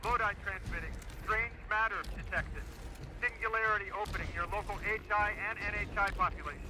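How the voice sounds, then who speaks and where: A high-pitched male field operator, voiced by a man in a video game. He reports status in terse, clipped transmissions. Mechanical rumble is clearly heard behind him. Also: brisk, lightly acted. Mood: terse, urgent.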